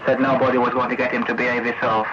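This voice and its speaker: A man's voice: mid-range voice, level tone, very fast, a loud crowd. A man delivering a rambling, confrontational, sweary monologue over CB radio, repeating accusations and throwing out challenging tag questions. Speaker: CB radio operator. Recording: noisy, muffled CB radio tape recording.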